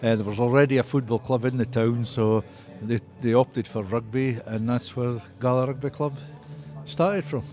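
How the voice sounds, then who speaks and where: Older male guest, Scottish Borders accent, club historian recalling the past in an interview, mid-pitched voice over faint music. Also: steady tone, normal speed, a little mellow.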